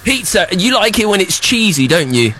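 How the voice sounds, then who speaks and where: A man's voice: high voice, moderate pace, slight background noise. A man hosting a children's radio show, running a quiz with a young caller in a playful, teasing, boastful way. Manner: teasing, boastful, exaggerated excitement.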